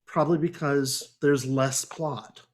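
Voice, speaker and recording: A man's voice: mid-range voice, fairly even intonation, slow pace. A man hosting a casual podcast book discussion, agreeing readily and criticising with wry humour.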